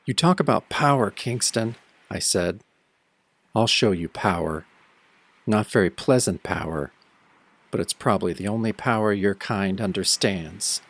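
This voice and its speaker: Guy as a grim tough hero narrating an audio drama, lowish voice, brooding and deliberate, hardboiled storytelling. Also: fairly bright tone, no room sound. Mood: ominous. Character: brooding tough male hero recounting his nightmare. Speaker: narrator and protagonist. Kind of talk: scripted first-person narration in an audio drama.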